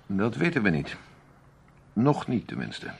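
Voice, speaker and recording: An actor in a Dutch radio play playing a grave, authoritative intelligence major, measured and emphatic. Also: male voice, on the low side, wildly swooping pitch, creaky, a little hiss or hum, exaggerated voice. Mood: grave, persuasive.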